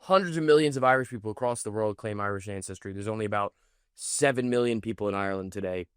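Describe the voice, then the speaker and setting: A man's voice: lowish voice, fast, smooth, no echo, clean studio sound. A man hosting a political reaction video, commenting in an indignant, mocking way. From United States. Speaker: commentary host.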